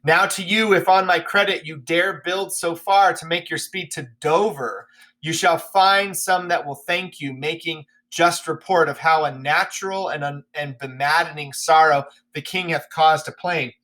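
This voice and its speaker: Man reading loyal nobleman, earnest, bright higher voice, urgent. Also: steady tone, moderate pace.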